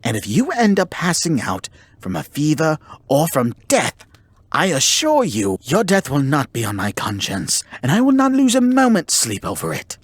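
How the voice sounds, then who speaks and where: A man playing a haughty, grudgingly caring male kitsune in an ASMR roleplay, speaking formally and theatrically with a breathy, husky voice. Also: fairly high pitch, wildly swooping pitch, moderate pace, no room sound, exaggerated voice. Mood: flustered, irritated. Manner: formal, theatrical, exasperated scolding. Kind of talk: ASMR roleplay acting, addressing the listener.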